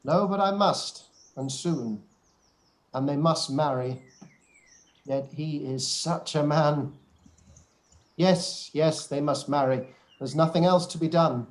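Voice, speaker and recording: Weary, wry Regency father played by an actor, higher-pitched. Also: takes it slowly, pauses often. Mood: troubled, resigned. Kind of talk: period radio play live read.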